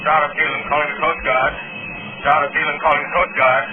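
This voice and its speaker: An actor playing an ominous, unseen crime fighter in a 1930s radio drama, deliberate and authoritative. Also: male voice, a little high, flat delivery, lightly acted. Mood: resolute. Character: calm, ominous invisible male crime-fighting avenger. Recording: very noisy, tinny 1930s radio recording.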